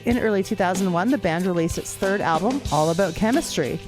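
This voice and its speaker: A woman's voice: on the low side, fairly quick. A woman podcast host reading a scripted band biography. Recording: music playing behind voice. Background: guitar music.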